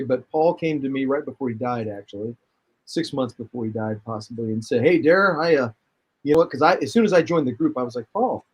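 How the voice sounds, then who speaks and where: Husky man telling long stories. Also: on the high side, rough recording. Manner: rambling storyteller, animated, full of restarts. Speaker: guest musician. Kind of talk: casual chat.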